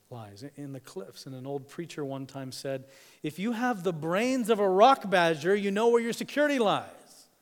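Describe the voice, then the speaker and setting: Emphatic preacher in a reverberant hall. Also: male voice, on the high side. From East Africa. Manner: swings from hushed to emphatic, dramatic pauses. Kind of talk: sermon.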